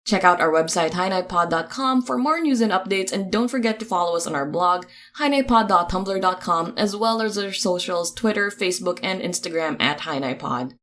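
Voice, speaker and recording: Lower-pitched woman reading podcast credits. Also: expressive, normal speed, hardly any pauses, fairly bright tone, a little hiss or hum. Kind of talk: podcast credits read, chatty announcement.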